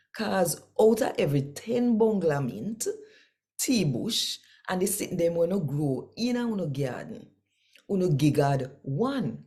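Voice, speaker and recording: Mellow, slow-paced older Jamaican woman. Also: fairly low pitch. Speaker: host reading scripture. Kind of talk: expressive Bible reading in Jamaican Patois, storytelling to camera. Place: small indoor room at home.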